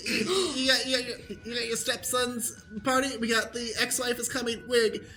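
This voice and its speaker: A woman's voice: a little high, little pitch movement, normal speed, sharp tone, soft background music, rough recording. A woman playing an eager, stammering oddball wig salesman in a comic radio commercial, repeating phrases in huckster patter. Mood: hustling.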